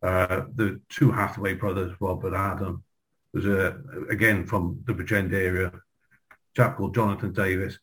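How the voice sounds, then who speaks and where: A man, reminiscing in a halting way, often repeating words. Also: deep voice, little pitch movement, a bit bright, low-quality recording. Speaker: guest, former pupil. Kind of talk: reminiscing in a group video chat.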